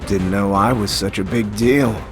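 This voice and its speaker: An actor playing a stoic, blunt warrior in a video game, plain-spoken and nonchalant with a defiant streak. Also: male voice, on the low side, a little slow, lightly acted. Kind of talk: scripted video game acting. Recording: music behind the voice.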